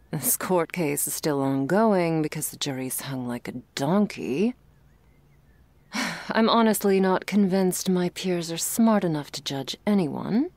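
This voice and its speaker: Low, creaky, playful girlfriend played by a woman. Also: dry recording. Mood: wry, tired. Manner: soft, teasing, drifting between whispers and playful chatter. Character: affectionate, wry, playful girlfriend waking her sleepy partner. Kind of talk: intimate ASMR roleplay.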